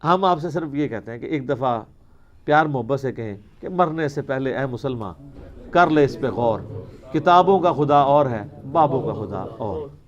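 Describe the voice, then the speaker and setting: A man's voice: medium pitch, expressive, normal speed, lo-fi sound. A man with a Pakistani Punjabi accent answering religious questions in an informal lecture, arguing emphatically with rhetorical questions and slipping into Punjabi.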